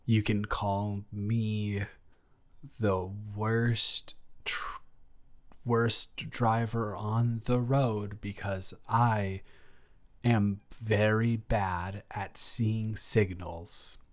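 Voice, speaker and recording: A man playing a bashful, halting lovestruck guy. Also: fairly low pitch, level tone. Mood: bashful, giddy. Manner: halting, stammering, giggly, self-deprecating. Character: flustered, lovestruck young man confessing to best friend. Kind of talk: improvised romantic audio roleplay, spoken softly to a listener.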